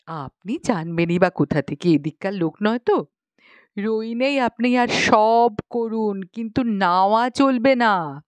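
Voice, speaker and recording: A woman's voice: medium pitch, expressive, takes it slowly, dry recording. A woman voicing a pious, chatty young village matron in an audiobook, explaining things knowingly in colloquial rural Bengali speech with exclamations. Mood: knowing, instructive. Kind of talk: audiobook character dialogue.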